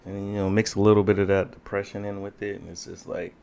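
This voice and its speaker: Chill young guy podcaster, lowish voice, rambly, dry jokes. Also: level tone, quick pace, darkish tone. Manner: laid-back, rambling, dry humor. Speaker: podcast co-host. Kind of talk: casual chat.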